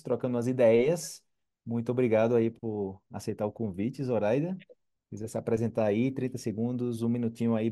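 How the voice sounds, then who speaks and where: A man from Brazil hosting a casual podcast interview, talking in an upbeat, slangy and energetic way. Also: mid-range voice. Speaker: podcast host.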